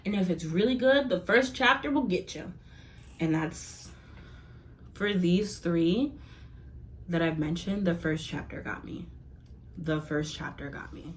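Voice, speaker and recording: A young woman chatting casually to her viewers in a rambling review, laughing often and filling pauses with "like" and "um". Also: lowish voice, a little hiss or hum. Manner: chatty, giggly, rambling with many fillers. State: losing her voice. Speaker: vlogger reviewing comics.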